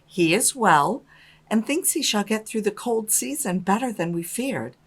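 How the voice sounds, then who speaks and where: An actress playing a loving, patient mother in a dramatised storybook, with a gentle and measured manner. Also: mid-range voice, animated, husky. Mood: reassuring.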